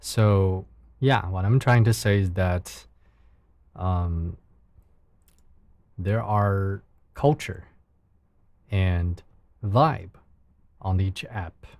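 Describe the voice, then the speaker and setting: Slow, deep-voiced Chinese-accented man. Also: darkish tone, no room sound. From China. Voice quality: soothing. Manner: careful, searching second-language phrasing. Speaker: live streamer. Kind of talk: casual livestream chat with viewers.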